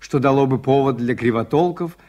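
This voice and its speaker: An actor playing a mild, shrewd priest-detective in a radio play, measured and politely insinuating. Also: male voice, medium pitch, darkish tone, lightly acted. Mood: politely probing. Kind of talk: Soviet radio play acting.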